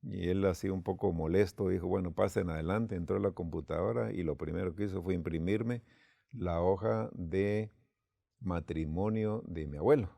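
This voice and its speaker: Deep gravelly man telling a story. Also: warm tone, no echo, clean studio sound. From Guatemala. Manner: unhurried storytelling with quoted dialogue. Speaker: church leader.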